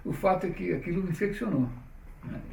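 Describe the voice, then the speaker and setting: A man's voice: sits high, fairly quick, vocal fry, a little mellow. A middle-aged man, telling stories in an interview in a chatty way, often tagging his sentences with a question. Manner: chatty, frequent "né?" tags, restarts. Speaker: interviewee, publisher. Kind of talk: relaxed conversational storytelling. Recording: roomy, distant mic, faint noise.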